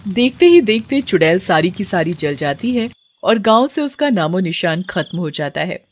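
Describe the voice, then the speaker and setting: A woman's voice: lowish voice, sing-song, moderate pace. A woman reading scripted narration for a folk horror story.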